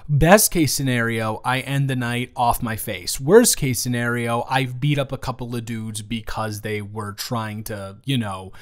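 A man playing a flustered, caring young best friend in an improvised romantic audio roleplay, talking haltingly and teasingly. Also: mid-range voice, lightly acted. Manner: hesitant, halting, conversational, teasing.

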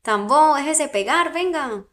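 Slow, playful young Colombian woman. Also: high-pitched, expressive, a bit bright. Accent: Colombian coastal mixed with Santander. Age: young adult.

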